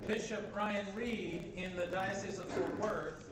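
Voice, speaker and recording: A middle-aged bishop with a high voice speaks at a conference, switching between his learned Spanish and his native English. He tells stories in a jovial way and keeps asking his listeners "right?". He is heard from a distance in an echoey hall, with steady noise underneath. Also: unhurried. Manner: jovial storyteller, asks "right?" often.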